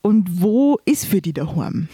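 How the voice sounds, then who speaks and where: A woman hosting a podcast, asking measured questions with small fillers. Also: mid-range voice, lively intonation, warm tone, husky, dry recording. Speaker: podcast host. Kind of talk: interview questions.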